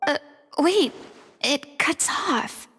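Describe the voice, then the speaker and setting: A woman's voice: high voice, animated, extremely slow, pushed and bright, in a large hall, heavily acted. An actress voicing a theatrical, articulate young woman with a decisive yet compassionate temper in a video game. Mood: pensive, investigating.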